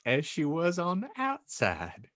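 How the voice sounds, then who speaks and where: Male host narrating in a theatrical, wry read, lively pitch, dry close sound. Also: unhurried, no echo. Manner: theatrical, wry, breaks into laughter. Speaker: podcast host reading as narrator. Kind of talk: comedic dramatic reading with asides.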